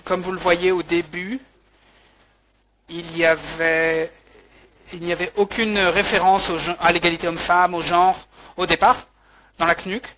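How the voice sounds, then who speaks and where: A man interpreting a webinar talk live, halting, with frequent restarts and fillers. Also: high-pitched, flat delivery, pushed and bright, a little hiss or hum.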